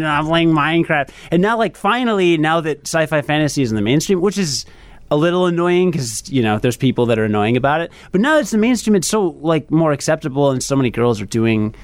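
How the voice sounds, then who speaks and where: A man in his thirties in a casual group chat, animated and rambling with restarts. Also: on the high side, moderate pace, smooth, no room sound. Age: 30s. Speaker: panelist.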